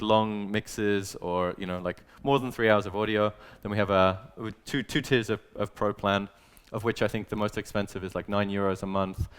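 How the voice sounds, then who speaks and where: A man with a fairly low, clear and smooth voice gives an informal business presentation. He explains things casually, in everyday conversational phrasing, as a speaker addressing an audience. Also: a bit bright. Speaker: conference speaker, growth manager.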